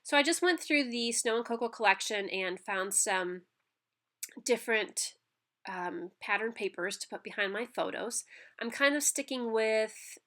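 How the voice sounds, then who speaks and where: A woman's voice: fairly high pitch, animated, measured pace, fairly bright tone. A woman with a stuffy nose from a cold, chatting casually through a crafting voice-over with lots of ums and restarts. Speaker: scrapbooking channel host. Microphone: home voice-over mic.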